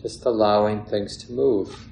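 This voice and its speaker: A man guides a meditation in a calm, measured way, leaving long pauses between phrases. His voice is on the low side and stays very level. The room adds a clear echo around his words. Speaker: meditation teacher. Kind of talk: guided meditation, unscripted instruction. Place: meditation hall or large room. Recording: roomy echo, faint background noise.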